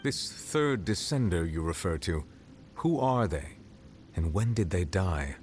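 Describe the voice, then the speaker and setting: A stately chief justice speaks in a very low, formal and deliberate voice. Each word is weighed with care. Faint music plays underneath. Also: male voice, expressive, darkish tone. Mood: curious. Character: dignified male chief justice, measured and formal. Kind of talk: game dialogue. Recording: game dialogue with faint music behind.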